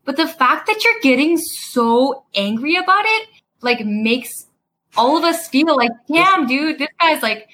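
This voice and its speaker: A woman talks earnestly and conciliatorily in a high, bright voice. She sounds like she wants to ease the tension. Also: lively intonation, a little slow. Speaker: participant in a group chat.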